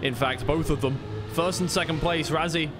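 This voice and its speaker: A man's voice: on the high side, normal speed, noisy background. A man doing live esports play-by-play commentary in a conversational way, thinking aloud as he goes.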